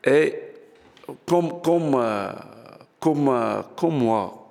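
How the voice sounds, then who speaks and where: A man who is learning French, giving a halting testimonial and searching for words. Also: medium pitch, expressive, vocal fry, a little mellow, in a large hall. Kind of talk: spoken testimonial.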